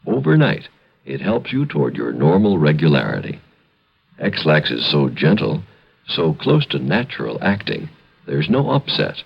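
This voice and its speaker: A man's voice: rumbling low, creaky, slightly rough. A man reading a scripted 1950s radio advertisement as a commercial announcer. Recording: muffled old radio recording.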